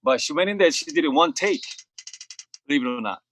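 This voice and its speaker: A man speaking casually on a video call interview, telling stories about recording with a relaxed, rambling manner full of "you know". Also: fairly high pitch, brisk, gravelly, slight background noise. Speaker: label head and producer guest.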